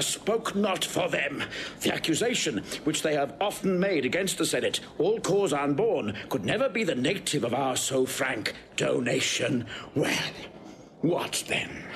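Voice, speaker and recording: High-pitched, scornful Roman warrior nobleman. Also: a bit bright, full character voice. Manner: declamatory, contemptuous. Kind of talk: classical Shakespearean stage acting. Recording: restored old recording, audible background noise.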